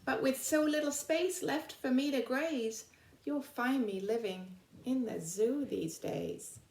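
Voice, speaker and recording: A woman voicing a cute, chubby, lazy storybook panda in measured rhyme. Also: sits high, gravelly, a little mellow, voice pushed up, heavily acted. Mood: lazy, plaintive. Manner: measured rhyming recitation. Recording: roomy, echoing indoor sound.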